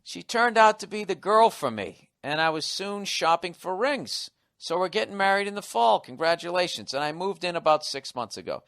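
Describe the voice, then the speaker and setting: A man's voice: high voice, expressive, moderate pace, few pauses, fairly bright tone, dry recording. A man in his forties with a Boston accent, hosting a podcast in a casual comedic monologue, cursing often and riffing sardonically as he reads a listener's letter aloud. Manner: profane, sardonic, riffing between reading lines. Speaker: podcast host.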